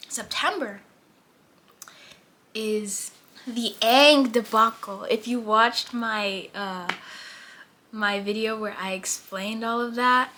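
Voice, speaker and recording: An eighteen-year-old woman chatting casually as a vlogger, full of "like" fillers and drifting between lively and hushed. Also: on the high side, a little hiss or hum. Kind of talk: casual chat.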